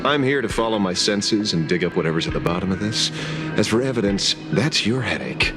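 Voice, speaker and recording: A very low-voiced, gruff detective acted by a man from a video game. He is blunt and commanding, with a sardonic edge and a stubborn streak. Loud music plays behind him. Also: animated, brisk, natural voice. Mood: incredulous, stubborn. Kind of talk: scripted video game voice acting.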